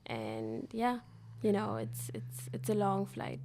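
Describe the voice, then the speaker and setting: Young woman from dubai, bit of vocal fry, easygoing studio guest, mid pitch. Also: slow, background noise. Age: 20s. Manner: relaxed, thoughtful, laughs easily between thoughts. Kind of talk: conversational interview. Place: radio studio.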